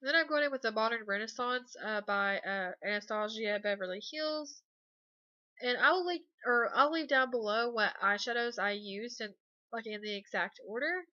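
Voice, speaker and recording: A woman's voice: on the high side, normal speed, dry recording, lo-fi sound. A young woman with a stuffy cold voice, chatting casually and a bit hesitantly through a makeup tutorial voice-over. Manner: relaxed, hesitant, many ums and uhs. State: congested from a cold. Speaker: beauty vlogger.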